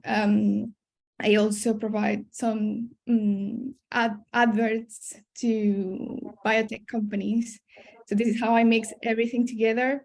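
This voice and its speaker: Young woman, fairly high voice, science panelist speaking about her own path. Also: gravelly, a little mellow. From born in Colombia, raised in Spain. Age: young adult. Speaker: PhD student and science communicator, panelist. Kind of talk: self-introduction at a webinar. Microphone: video call.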